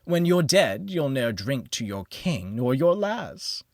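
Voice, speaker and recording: Man putting on a loud, tipsy young Georgian reveler. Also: medium pitch, very animated, slow, creaky, no echo, clean studio sound, pitched above the performer's own voice, heavily acted. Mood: rowdy, merry. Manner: declamatory, lilting, rowdy verse delivery. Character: tipsy, boisterous young man declaiming a Georgian drinking song.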